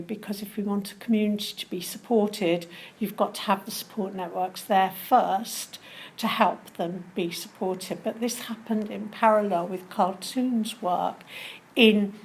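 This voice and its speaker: A woman working in community support gives a measured, explanatory account in an interview setting. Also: mid-range voice, fairly even intonation, a little mellow, slightly rough, rough recording. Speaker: community support charity worker.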